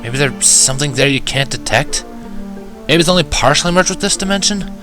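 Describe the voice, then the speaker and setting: A man's voice: a little high, lively intonation, fairly quick, music in the background, pitched above the performer's own voice, natural voice. A man voicing an agitated, defensive male pony who pleads insistently in a fan-fiction story reading.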